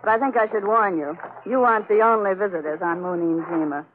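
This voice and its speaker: An actress playing a cool, world-weary island wife, poised and direct, in 1940s radio adventure style. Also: female voice, medium pitch, quick pace, natural voice. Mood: cool, dry. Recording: tinny, narrow 1940s radio recording, faint noise.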